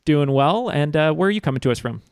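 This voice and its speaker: A man's voice: fairly high pitch, lively intonation, quick pace, smooth, no room sound. Chatty man hosting a trivia podcast. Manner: chatty, many "uh" fillers. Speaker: podcast host. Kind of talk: casual quiz show hosting. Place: home, Chicago.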